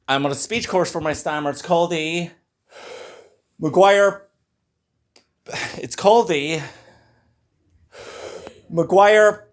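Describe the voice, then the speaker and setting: A man's voice: on the high side, very slow, pauses often. A young Irish man with a stammer doing a stand-up comedy set in a club. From Ireland. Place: comedy club before a laughing crowd.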